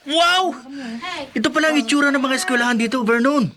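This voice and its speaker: A man's voice: very high-pitched, a little fast, a crowd in the background. A man playing an eager, carefree young provincial man in a radio drama, exclamatory in manner. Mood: excited, teasing. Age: young adult.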